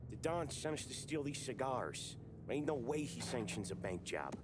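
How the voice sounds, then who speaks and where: An actor playing a level-headed young mobster who leads his crew, talking in a calm, blunt voice. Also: mid-range voice, normal speed, noisy background, lightly acted. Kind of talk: video game cutscene acting. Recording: game cutscene with loud score.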